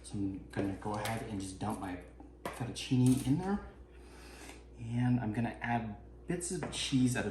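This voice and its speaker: A man's voice: fairly low pitch, a little mellow, echoey room, slight background noise. A man chatting casually as he cooks in his home kitchen, laid-back and swearing now and then. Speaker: home cook vlogger. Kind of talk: casual cooking narration.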